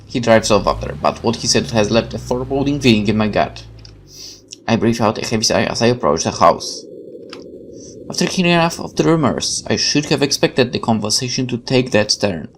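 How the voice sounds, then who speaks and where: A man's voice: medium pitch, very animated, fairly quick, slight background noise. A man playing a game for viewers, reading visual novel narration aloud like a dramatic storyteller and adding musing commentary. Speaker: let's player.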